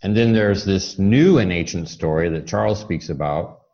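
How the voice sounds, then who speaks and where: A man's voice: low voice, moderate pace, darkish tone. A man hosting a conversational interview, thoughtfully building long, searching questions piece by piece. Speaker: interviewer, show host. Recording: dull, muffled lo-fi audio.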